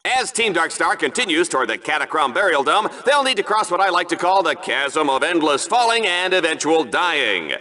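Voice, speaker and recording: A man's voice: on the high side, sing-song, moderate pace, few pauses, pushed and bright. A man playing a smarmy, gleeful announcer of a deadly combat sport in a video game, with showy, mock-dramatic delivery. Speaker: sports show host.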